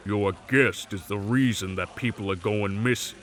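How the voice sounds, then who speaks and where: A man's voice: on the low side, moderate pace, a bit bright, natural voice. A man playing a cocky, menacing supernatural brawler, in an audio drama. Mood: taunting menace. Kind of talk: audio roleplay drama acting.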